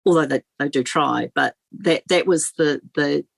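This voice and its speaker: A woman's voice: deep voice, normal speed, gravelly, breathy edge, no echo. A woman, a guest on political talk radio, speaking earnestly with many restarts and repeated words.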